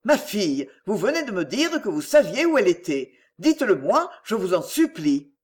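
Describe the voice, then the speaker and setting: A woman's voice: mid-range voice, sing-song, moderate pace, darkish tone, lightly acted. A woman voicing an anguished middle-aged bourgeois father in an audiobook, formal and urgent in manner. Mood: anxious, pleading. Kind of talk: acted audiobook dialogue.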